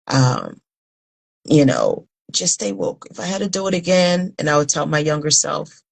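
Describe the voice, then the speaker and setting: A woman in her fifties from Liberia, speaking as an interview guest. Her voice is exceptionally low, with a heavy creaky fry. She answers conversationally and earnestly, pausing between phrases. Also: wildly swooping pitch. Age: 50s. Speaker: interview guest, humanitarian founder.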